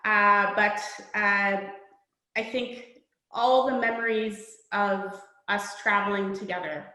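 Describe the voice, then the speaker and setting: A woman's voice: mid-range voice, fairly even intonation, slow pace, sharp tone. A middle-aged woman author, born in Poland, reading her children's book aloud and then answering interview questions in an earnest, reflective way. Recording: echoey room.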